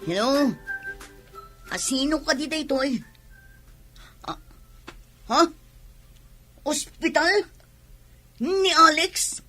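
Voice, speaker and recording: A woman with an Ilocano Filipino accent playing a fretful, irritable young pregnant wife in a radio drama, in a husky voice. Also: sits high, pushed and bright, heavily acted. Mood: alarmed.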